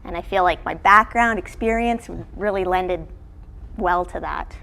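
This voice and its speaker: A woman's voice: lowish voice, unhurried, sharp tone, a little hiss or hum. A woman from Canada, a college golf coach, chatting casually as an interview guest in a studio. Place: television studio.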